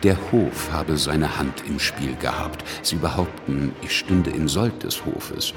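An actor playing a shrewd, cynical revolutionary politician who speaks dismissively in a radio play monologue. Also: male voice, rumbling low, takes it slowly. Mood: self-assured. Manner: sardonic, dismissive. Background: music.

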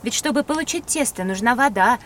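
A woman's voice: on the high side, animated, brisk, fairly bright tone, slight background noise. A woman playing an attentive, inquisitive young pupil who describes what is around and asks questions in an educational audio drama. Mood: curious, attentive.

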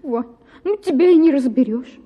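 A hurt, high-voiced young wife. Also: female voice, lively intonation, normal speed, mellow. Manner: plaintive, reproachful. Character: simple, hurt young housewife. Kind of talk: radio drama acting. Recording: dull radio audio in reverb with music.

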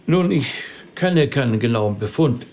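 An actor playing a polite, hesitant rabbi in a naturalistic radio drama, halting and deferential. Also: male voice, mid-range voice, animated, darkish tone, a little hiss or hum. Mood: uneasy, hesitant. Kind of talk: naturalistic German-language radio drama acting.

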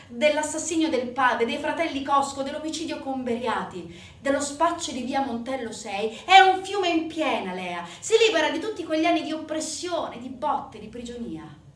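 A woman narrating a dramatic stage monologue in an emphatic, theatrical way with deliberate pauses. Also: sits high, a bit bright. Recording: echoey, distant mic, faint noise.

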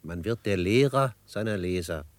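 A man playing a calm, confident young frontiersman in a radio drama, speaking in a composed, measured and gently teasing way. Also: low voice, no room sound. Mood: unruffled. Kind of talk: German radio drama acting.

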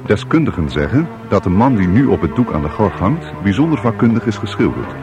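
An actor playing a sober newspaper report reader, formal and measured, in a radio drama. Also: male voice, lowish voice, big pitch swings, muffled recording, music in the background.

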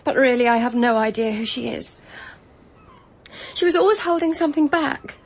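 Radio drama casting: a resentful former companion, breathy voice, higher pitch. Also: female voice, normal speed. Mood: bitter. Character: bitter former servant woman, resentful and hesitant. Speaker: Mary. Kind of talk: BBC radio drama acting.

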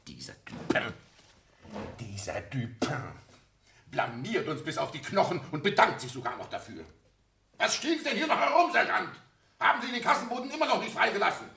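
An actor playing an irascible, commanding police prefect in a German radio play, barking orders in a gravelly voice. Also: male voice, high voice, big pitch swings, a little fast, exaggerated voice. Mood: outraged. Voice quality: gravelly, sharp.

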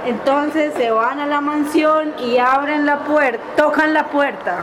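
High-voiced woman storyteller in a very noisy room. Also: measured pace. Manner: suspenseful, prompting the players. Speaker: narrator and facilitator. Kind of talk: improvised storytelling for children's radio drama. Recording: very noisy room, loud group behind.

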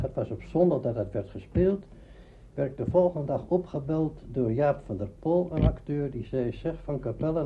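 An older man, a veteran radio actor, reminiscing and explaining deliberately in an interview. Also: a little high. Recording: dull lo-fi archive recording, faint noise.

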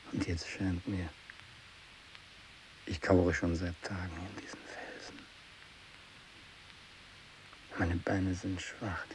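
An actor playing a tormented, fanatical renegade missionary who worships evil, in a feverish radio drama monologue from the 1960s. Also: male voice, low-quality recording. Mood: weary, feverish. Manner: feverish, swinging from hushed whispering to shrill ecstatic cries. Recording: hissy 1960s radio recording.

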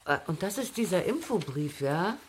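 A woman playing a loving, playful, unstable middle-aged mother in a German radio drama, with an unhurried, teasing, colloquial delivery. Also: lowish voice, big pitch swings, slight background noise. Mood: teasing, breezy.

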